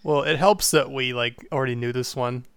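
A man talks through a riddle with his friends, thinking out loud, backtracking and swearing casually. His voice is smooth and clear, recorded dry and close. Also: medium pitch, fairly even intonation, normal speed, no room sound. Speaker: co-player. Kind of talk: casual chat over a game.

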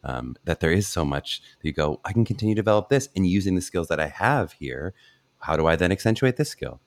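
A man's voice: low voice, big pitch swings, quick pace, dry recording. A young adult man hosting a podcast, effusive and enthusiastic as he praises his guest. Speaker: podcast host, college audition coach. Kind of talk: casual podcast interview.

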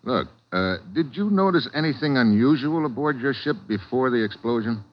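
A man's voice: mid-range voice, animated, normal speed, close to an everyday voice. A man playing a wry, tough American agent in a 1940s radio drama, asking questions in a casual, clipped way. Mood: probing, cool. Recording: dull vintage radio recording.

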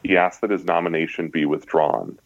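An American man with a deep voice reads from a nonfiction book as a guest author. The sound is dry, with little room around it, and slightly muffled in fidelity. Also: fairly even intonation, moderate pace, no room sound. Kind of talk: reading a nonfiction book passage aloud. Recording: slightly dull, lo-fi audio.

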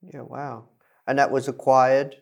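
A man hosting a business podcast, energetic in his intro and hesitant with many fillers when asking questions. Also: mid-range voice, a little slow, creaky. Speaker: podcast host.

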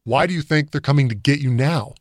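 A frightened man with a parasite inside him speaks tensely and bluntly, voiced by a male narrator. His voice is middle-pitched and recorded close and dry. He sounds strained and defiant. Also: fairly quick, dry recording, close to an everyday voice.

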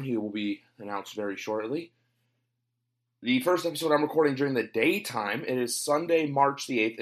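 A man hosting a casual sports podcast, talking with a cold and rambling through lots of fillers. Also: mid-range voice. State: has a cold. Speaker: podcast host. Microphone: home recording, guest on internet call.

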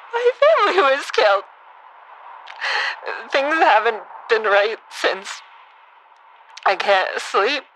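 Fragile, tipsy woman, haltingly slow. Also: medium pitch, a bit bright, slight background noise, low-quality recording. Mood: nervous, confessing. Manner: halting, hesitant, with nervous laughs. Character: troubled, fragile woman, a shooting survivor leaving a voicemail. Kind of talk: naturalistic audio drama acting.

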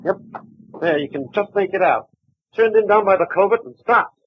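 An actor playing a plain-spoken rural rancher with a folksy drawl, in a 1940s radio drama with a dull old broadcast sound. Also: male voice, high voice, expressive, normal speed, breathy edge, no echo. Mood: anxious.

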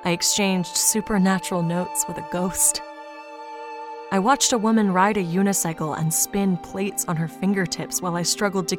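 A weary, earnest investigator narrates an audio drama in a low register. The delivery is dry and deadpan, with loud music running underneath. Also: female voice. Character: weary, earnest male podcast investigator telling his strange story. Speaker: narrator and lead character.

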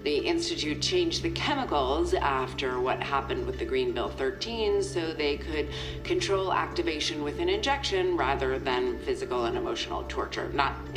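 A woman playing a regretful boss in an audio drama, leaving a halting, earnest confidential message. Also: very deep voice, reverberant. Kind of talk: audio fiction acting, recorded farewell message.

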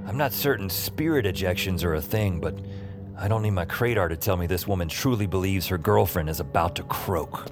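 A man's voice: sits low, fairly quick, over music, lightly acted. An actor playing a wry, awkward man who takes calls, in slangy audio drama narration and dialogue. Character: wry, awkward male call-taker new to a magical world.

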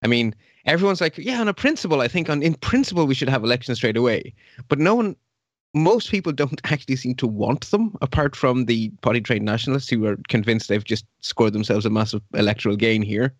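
A man's voice: medium pitch, expressive, fairly quick, no room sound. Brief: wry man, mid-range voice, very dry close sound, long explanations. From Belgium. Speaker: podcast co-host. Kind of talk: casual current-affairs chat.

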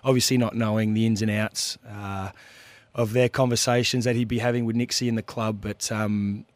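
Australian male co-host, husky from illness, lowish voice, dry studio, measured analysis. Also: little pitch movement, no echo. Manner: measured, thoughtful footy analysis. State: husky from being sick. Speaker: radio co-host, former footballer. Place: radio studio.

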